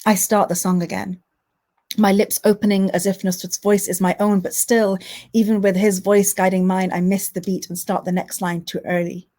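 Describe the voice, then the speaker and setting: A woman's voice: medium pitch, level tone, moderate pace, warm tone. A woman, a poet who chats hesitantly with lots of "um"s between pieces, then reads her own poetry with impassioned rhythm. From Manchester, England. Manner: hesitant, filler-heavy chat between poems. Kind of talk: spoken word poetry reading with chat to an online audience.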